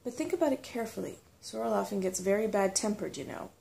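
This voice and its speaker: Woman as storybook male dragon, kindly, steadfast, measured, soft mellow tone, creaky. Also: mid-range voice, lively intonation, slight background noise. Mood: calm warning. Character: kindly, firm male dragon, calm and playful. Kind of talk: soft storybook character reading.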